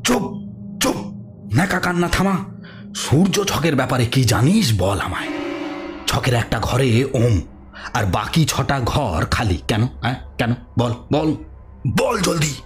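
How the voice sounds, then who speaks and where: A male actor playing a gloating, ruthless villain in a horror audio drama, menacing and repeating his words in a halting way. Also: medium pitch, sing-song, music in the background. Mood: threatening, impatient. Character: gloating, ruthless male villain, kidnapper obsessed with occult secrets.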